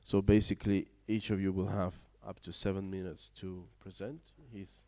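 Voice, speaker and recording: A man chairs a panel discussion at a conference, his voice low-pitched and mellow. He talks in halting English, with frequent pauses and fillers as he hands the floor around. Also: little pitch movement. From Baltic region. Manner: hesitant, many fillers, non-native phrasing. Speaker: moderator. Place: conference room.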